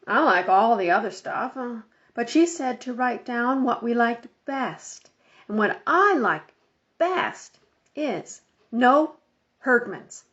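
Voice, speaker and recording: A woman's voice: on the high side, animated, takes it slowly, fairly bright tone, lo-fi sound. A woman voicing a blunt, defiant little schoolboy in an audiobook, speaking emphatically. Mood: stubborn.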